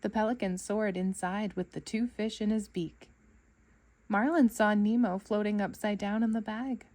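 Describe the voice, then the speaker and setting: A woman storyteller reading a children's book aloud in an expressive narrating style for kids. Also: medium pitch, normal speed.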